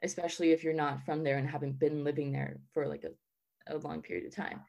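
A woman's voice: low voice, level tone, fairly quick, gravelly, darkish tone. A young woman from the American South answering interview questions in a casual, chatty way, full of "like" and "um". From Southern United States. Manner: chatty, lots of "like" and "um" fillers.

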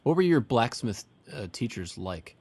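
A man's voice: medium pitch, very animated, moderate pace, a little mellow, husky. A man hosting an interview, asking rambling, stacked questions. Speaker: podcast host. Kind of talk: casual interview.

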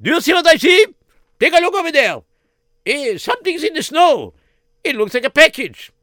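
A man doing a shrill, excited young soldier. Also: normal speed, dry recording, clean studio sound, exaggerated voice. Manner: shouty, eager.

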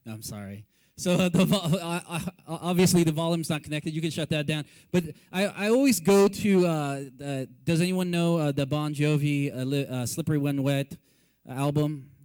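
A Korean-born man who pastors a church, opening casually with rambling, self-deprecating stories and lots of halting fillers. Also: a little high, darkish tone. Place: church sanctuary.

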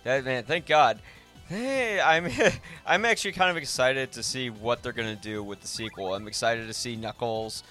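A man's voice: medium pitch, normal speed, bright, forceful tone, faint music behind. A man talking enthusiastically and casually about films as a podcast host. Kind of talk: casual film discussion.

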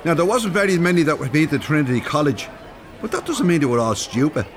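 A man's voice: on the high side, big pitch swings, fast talker. An actor playing a chatty, nosy, thin-skinned older working-class Dublin man in a radio drama, rambling and familiar. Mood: musing, frank. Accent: Dublin Irish. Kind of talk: naturalistic radio drama acting. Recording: urban ambience, faint background noise. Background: shopping centre ambience.

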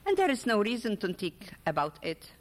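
A woman voicing a gentle, reassuring older woman in love in a literary story reading. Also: mid-range voice, big pitch swings, moderate pace, close to an everyday voice. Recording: echoey hall with long reverb.

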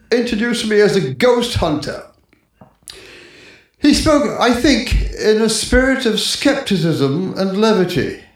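An actor playing a grave, pompous ghost-hunting doctor with formal, halting speech in a 1940s radio drama. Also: male voice, sits high, far from the mic, full character voice. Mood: solemn. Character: grave, pompous male ghost hunter doctor, sensitive and foreboding. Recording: echoing 1940s radio recording, walkie-talkie from afar.

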